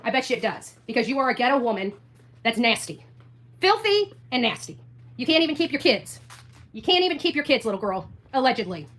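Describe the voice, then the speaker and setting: A woman's voice: on the high side, very animated, brisk, a bit bright. A woman livestreamer ranting confrontationally, taunting and repeating her phrases for emphasis.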